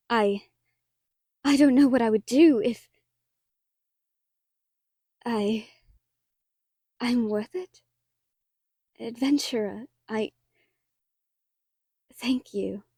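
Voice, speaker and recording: Lady playing sweetly teasing merchant girl in fantasy audio play, high voice, takes her time, bit hesitant. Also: warm tone, husky, no echo, clean studio sound, exaggerated voice. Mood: tender, flustered. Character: wry, affectionate young merchant woman, gently teasing. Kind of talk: fantasy audio drama acting.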